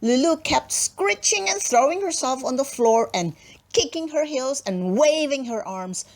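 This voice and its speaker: A woman's voice: high voice, animated, a little slow. A woman narrating a children's storybook expressively, with dramatic emphasis and playful asides. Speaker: storybook reader.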